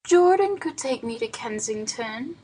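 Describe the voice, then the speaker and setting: A frail old woman from a storybook, acted out by a female narrator, with a husky voice set fairly high. She is wistful and plaintive, forever pleading as if close to tears. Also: expressive, measured pace, warm tone. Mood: meekly pleading. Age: older.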